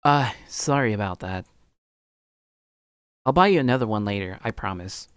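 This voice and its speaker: A man's voice: on the low side, lively intonation, moderate pace, clean tone, no room sound, clean studio sound, close to an everyday voice. Man playing an exasperated young male game protagonist. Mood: apologetic, exasperated.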